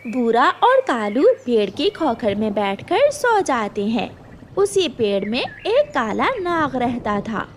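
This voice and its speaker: A woman reading scripted narration for a children's cartoon story in an expressive storytelling manner. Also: a little high, takes it slowly, a little hiss or hum.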